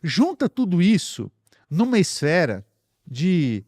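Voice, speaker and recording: A Brazilian man with a fairly high voice talks as a guest science expert. He explains casually, building ideas step by step and repeating phrases for emphasis. The sound is close and dry. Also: huge pitch swings, takes it slowly, a little mellow, no echo.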